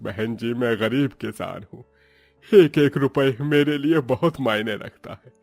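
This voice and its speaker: A man's voice: mid-range voice, measured pace, creaky, no echo, faint music behind. A man voicing a poor, middle-aged farmer and father in a village story, kindly, earnest and lamenting. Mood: worried, pleading.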